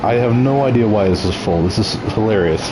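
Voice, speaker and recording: A man's voice: fairly low pitch, brisk, background noise. A young man chatting casually as a game streamer, nasal and ill, joking, laughing often and tripping over his words.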